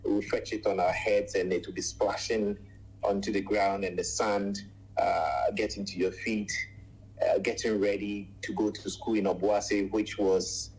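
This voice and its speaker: Ghanaian-born man, 40s, low husky voice, unhurried radio guest who pauses a lot. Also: little pitch movement, a little mellow, poor audio. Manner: measured, frequent "uh" pauses, lists things at length. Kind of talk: radio interview, recounting life story.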